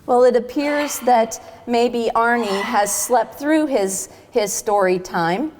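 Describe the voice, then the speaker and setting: A woman storyteller reading a children's book aloud expressively, with dramatic pauses between phrases. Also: fairly high pitch, darkish tone, long reverb tail, slight background noise.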